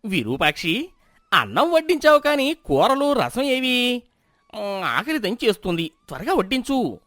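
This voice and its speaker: A man's voice: very high voice, unhurried, no room sound, clean studio sound, full character voice. A man voicing a greedy, miserly houseguest in a moral story, with a demanding manner. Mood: hungry, impatient. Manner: demanding, animated.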